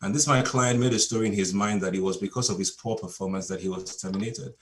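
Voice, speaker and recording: A lower-voiced man from Nigeria, a native Yoruba speaker, gives a relaxed coaching talk over a video call. He tells stories from his clients and chuckles between points. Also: quick pace, slight background noise.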